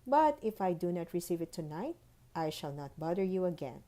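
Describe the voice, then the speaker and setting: A woman's voice: lowish voice, big pitch swings, normal speed, dark tone. A woman reading the letters of a courteous, formal tooth fairy in a proper storybook manner. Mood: earnest pleading.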